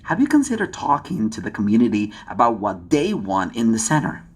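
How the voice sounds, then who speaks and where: An actor playing a friendly male community manager who speaks in a gentle, encouraging way. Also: on the low side, big pitch swings, brisk, husky, full character voice.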